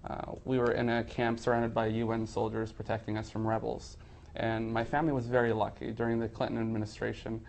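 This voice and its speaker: A man in his twenties from Somalia, a city council candidate speaking earnestly and in a measured way to an editorial board. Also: mid-range voice, gravelly, darkish tone, slight background noise. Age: 20s. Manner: earnest, measured, speech-like delivery. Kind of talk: editorial board interview statement. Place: newspaper meeting room, indoors.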